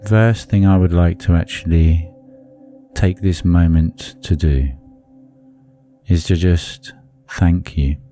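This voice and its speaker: A man leading a guided meditation in a soothing, deliberate manner, leaving long pauses between phrases. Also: deep voice, steady tone, warm tone, faint music behind.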